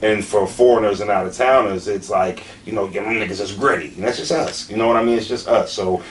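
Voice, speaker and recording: A man's voice: lowish voice, fairly quick. A man in his late twenties with a Philadelphia accent, talking candidly in an interview in an emphatic, repetitive way full of slang and swearing. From Southeastern Pennsylvania, USA. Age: late 20s. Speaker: battle rapper being interviewed. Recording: distant mic with faint background noise.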